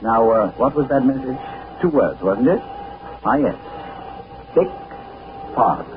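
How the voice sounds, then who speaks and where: A man playing a suave gentleman adventurer with dry understatement, in a 1940s radio drama. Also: medium pitch, lively intonation, unhurried, natural voice. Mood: wry. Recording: tinny, noisy 1940s radio broadcast.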